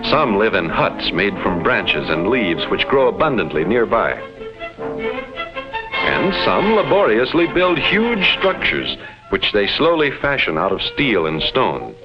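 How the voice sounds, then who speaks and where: Vintage lo-fi 1950s educational film, music underneath, detached alien observer, formal measured narration, lively intonation, precise diction. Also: male voice, on the low side. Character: detached alien explorer reporting on Earth's inhabitants.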